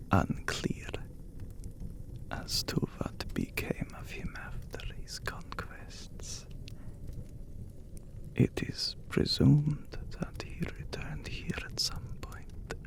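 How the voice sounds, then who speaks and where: A man playing an immortal, brooding vampire lord in an ASMR audio drama, with a breathy whisper and solemn, formal phrasing. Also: fairly high pitch, heavily acted. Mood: proud, guarded. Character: handsome immortal vampire count, brooding, menacing lord of castle.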